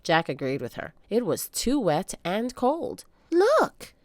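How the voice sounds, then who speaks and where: A woman reads a children's story aloud in an animated, dramatic way. Her voice sits a little low but swings widely in pitch. The sound is dry and close. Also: measured pace, no echo. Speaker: children's book reader. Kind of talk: expressive read-aloud storytelling.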